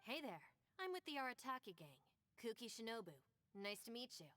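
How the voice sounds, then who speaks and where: A woman playing a capable, composed and polite young gang deputy in an anime video game, speaking in a courteous, measured way. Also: a little high, big pitch swings, fairly bright tone, slight background noise. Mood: calm, courteous.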